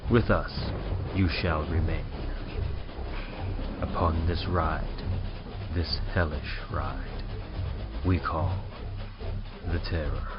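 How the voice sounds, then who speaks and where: A man reciting a horror poem in a slow, deep, ominous way. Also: steady tone. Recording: distant, dull lo-fi over loud music.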